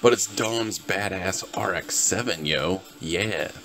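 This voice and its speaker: A man's voice: sits low, slow, fairly bright tone, faint music behind. A tired, laid-back man giving casual, rambling gameplay commentary to his viewers as host of a gaming video. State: tired, just woke up.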